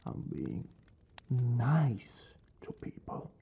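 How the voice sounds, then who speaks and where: Man as aloof male vampire lord, brooding, secretly caring, soft breathy ASMR delivery, dark mellow tone, gravelly edges. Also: medium pitch, big pitch swings, drawn-out words, heavily acted. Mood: conflicted musing. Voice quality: soft, breathy, often dropping to a whisper. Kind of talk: ASMR roleplay, intimate dramatic monologue.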